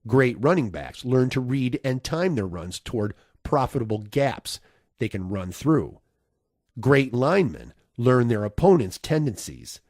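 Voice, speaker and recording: Man narrating audiobook with lively, varied pitch. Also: measured pace, no echo, studio quality. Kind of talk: scripted nonfiction audiobook narration.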